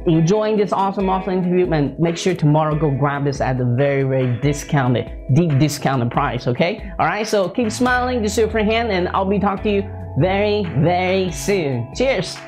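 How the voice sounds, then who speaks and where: A man's voice: high voice, moderate pace, soft background music. A man hosting an interview in an upbeat sales style, repeating himself and checking in often, with non-native English phrasing. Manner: repetitive, hype-heavy delivery with frequent check-in tags. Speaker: interview host and product promoter.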